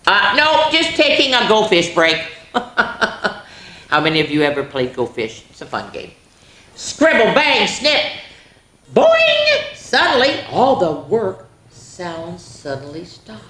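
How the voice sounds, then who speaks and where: A woman's voice: mid-range voice, wildly swooping pitch, takes it slowly, sharp tone. A woman hosting a children's story time, reading aloud dramatically and chatting directly to young listeners with lots of exclamations. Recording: roomy echo, faint background noise.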